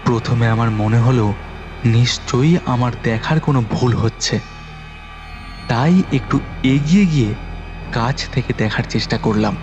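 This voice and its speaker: A man's voice: medium pitch, a little slow, pauses often, dull audio. Guy telling a horror audio story, suspenseful and dramatic, music playing behind him. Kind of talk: dramatic first-person horror storytelling with acted dialogue. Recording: voice over background music.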